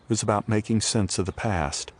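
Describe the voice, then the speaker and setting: An older man giving a scripted, reflective first-person narration for an audio drama, in a measured storytelling manner. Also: fairly low pitch, no echo. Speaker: narrator and playwright telling his own story.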